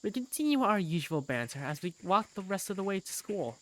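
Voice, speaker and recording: Animated higher-voiced man narrating a story. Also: fairly quick. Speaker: amateur voice actor and narrator. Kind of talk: narrating a visual novel fandub and acting its lead.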